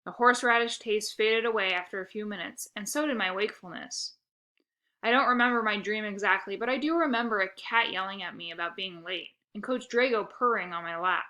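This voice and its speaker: A woman narrating a children's book aloud with expressive, first-person storytelling. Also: mid-range voice, fairly quick.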